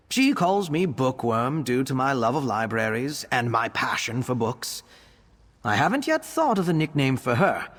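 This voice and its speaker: A man's voice: mid-range voice, breathy edge, big-room sound. A man playing a polite, bookish young vampire scholar in a video game, speaking with earnest, formal, precise diction. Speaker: game character, arcane investigator. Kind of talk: scripted game character monologue.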